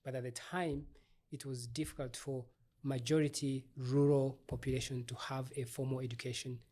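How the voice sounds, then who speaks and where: A middle-aged man from Uganda, an obstetrician-gynecologist, telling his life story in an interview in a thoughtful, measured way with small restarts. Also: mid-range voice, little pitch movement, mellow.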